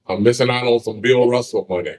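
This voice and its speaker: A man from the eastern shore of Maryland with a deep voice, a comedian telling jokes and stories in a casual interview. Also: fast talker, darkish tone. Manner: joking, laid-back storytelling.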